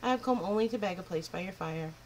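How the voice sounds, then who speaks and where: A woman reading a storybook aloud, voicing a bold, sharp-tongued young country girl. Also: medium pitch, quick pace, a little hiss or hum, lightly acted, poor audio. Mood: spirited.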